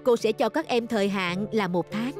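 A woman's voice: medium pitch, normal speed, over music, lightly acted. A woman voicing a patient, concerned schoolteacher in a cartoon dub. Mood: patient, firm. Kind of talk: cartoon dubbing.

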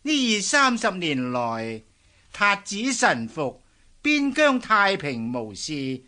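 A man's voice: sits high, slow, heavily acted. A man with a Hong Kong Cantonese accent playing a scheming, obsequious palace eunuch in a historical radio drama. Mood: deferential, persuasive. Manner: obsequious, flattering. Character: scheming, fawning male palace eunuch serving the emperor.

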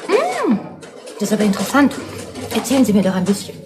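A curious young secretary. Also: female voice, medium pitch, huge pitch swings, quick pace. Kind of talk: 1970s radio crime drama.

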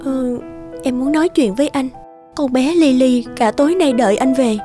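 A woman's voice: high voice, normal speed, close to an everyday voice. Woman as a worried, reproachful young cartoon mother. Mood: hurt, pleading. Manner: earnest, pleading, emotional. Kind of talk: cartoon dubbing, dramatic. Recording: music behind.

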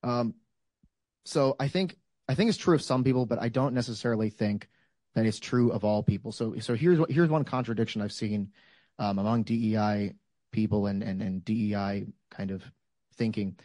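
A man's voice: fairly low pitch, normal speed, warm tone, no echo. A man in his thirties speaking at a panel discussion, making his case step by step with examples and frequent fillers. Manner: thoughtful, builds arguments with examples. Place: lecture room, standing. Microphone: handheld microphone.

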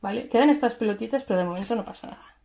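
A woman's voice: on the high side, rapid-fire, gravelly, mellow. A woman, explaining a recipe step by step as she cooks at home, picked up by a camera mic across the kitchen. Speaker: cooking show host. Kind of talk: casual cooking demonstration. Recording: echoey kitchen with clattering noise.

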